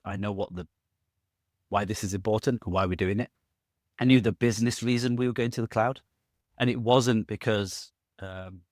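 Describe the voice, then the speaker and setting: Middle-aged man, low-pitched, loose and jokey guest, dry recording. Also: no echo. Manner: rambling, self-correcting, jokey asides. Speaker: podcast guest. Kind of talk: casual conversational interview.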